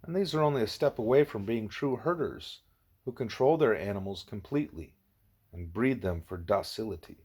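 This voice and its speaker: A man's voice: lowish voice, animated, a little mellow, breathy edge. Man voicing a wise, patient gorilla teacher. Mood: patient, instructive. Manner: measured, didactic, explanatory.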